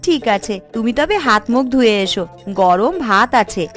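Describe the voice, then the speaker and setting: A high-voiced village housewife, acted by a woman for a cartoon tale, proud and sharp-tongued. Her delivery swings in pitch and stresses her points, with music underneath. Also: lively intonation, measured pace. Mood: resigned. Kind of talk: animated moral story dialogue.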